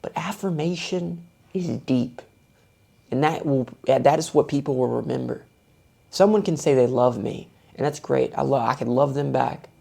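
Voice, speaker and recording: A man's voice: mid-range voice, normal speed, vocal fry, mellow. A young man vlogging with a soothing voice, talking through his thoughts in a thoughtful, self-searching way. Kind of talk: reflective personal monologue.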